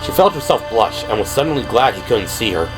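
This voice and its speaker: A man's voice: fairly low pitch, very animated, a little fast, low-quality recording. A man reading scripted third-person narration for an audio drama. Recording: music behind the voice.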